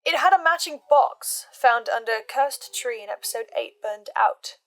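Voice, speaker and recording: A woman giving intense, theatrical scripted commentary with emphatic pauses, like a host laying out a theory. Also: fairly high pitch, lively intonation, rough recording. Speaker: host of a reaction and theory channel. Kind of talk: dramatic scripted commentary on a fiction podcast. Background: background music.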